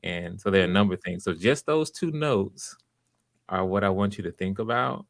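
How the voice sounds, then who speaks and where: A man's voice: deep voice, moderate pace, creaky, dry recording, studio quality. A man hosting auditions and giving encouraging, conversational feedback, often restarting his phrases. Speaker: audition host and director.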